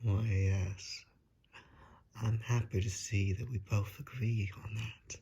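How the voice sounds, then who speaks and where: A courteous gentleman vampire, acted by a man for an ASMR roleplay, speaks in a low, breathy half-whisper. He takes his time, ingratiating and old-fashioned, with hesitant flattery. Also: takes it slowly, full character voice. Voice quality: soft, breathy, often whispered.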